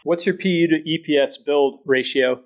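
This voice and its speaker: Man asking brisk questions, tinny sound. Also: a little high. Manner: brief, direct questions. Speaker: interviewer. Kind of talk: scripted interview questions. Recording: tinny phone-like line.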